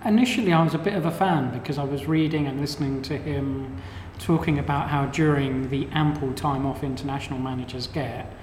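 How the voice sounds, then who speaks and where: A man's voice: mid-range voice, fairly even intonation, brisk, hardly any pauses, a little mellow, slight background noise. A man, co-hosting a casual chat and voicing his views hesitantly, with many restarts. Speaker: podcast co-host. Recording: echoey room with long reverb.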